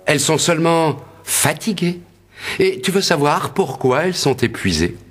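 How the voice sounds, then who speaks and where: A man's voice: fairly high pitch, expressive, moderate pace, over music, natural voice. An actor playing a kindly, imaginative male tutor who tells fanciful tales in a reassuring, earnest way in a cartoon. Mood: gentle wonder. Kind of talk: cartoon character acting.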